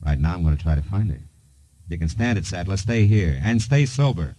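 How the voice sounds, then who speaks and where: An actor playing a wry, cool American private detective, clipped and deadpan, in a 1950s noir radio drama. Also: male voice, deep voice, a little fast, no room sound. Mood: cool, probing. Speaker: lead detective and narrator.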